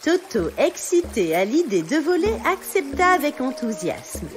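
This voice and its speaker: A woman's voice: sits high, sing-song, a little slow. A woman narrating a scripted children's story as a storyteller. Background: music.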